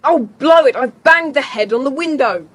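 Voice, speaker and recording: A woman's voice: sits high, expressive, a bit bright. A woman playing an eager, bossy schoolboy who takes charge in a children's audio drama. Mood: urgent. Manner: brisk, take-charge. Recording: faintly hissy cassette tape.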